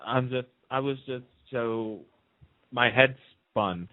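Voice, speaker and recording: A man's voice: mid-range voice, slow pace, dry recording. A man chatting casually and emphatically as a guest on a radio show, repeating himself to make his point. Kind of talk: casual soap opera discussion. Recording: narrow phone-like line.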